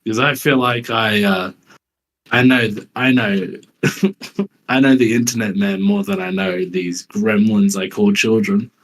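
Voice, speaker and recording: Male streamer, unhurried delivery, sarcastic, sweary reaction banter. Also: lowish voice, steady tone, a bit bright. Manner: jokey, sarcastic. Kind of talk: casual mocking chat with swearing.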